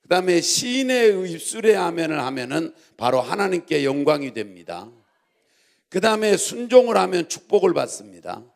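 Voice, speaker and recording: A male pastor preaching a church sermon in a gravelly voice, exhorting and repeating himself as he prompts the congregation to respond. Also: on the high side, big pitch swings, normal speed.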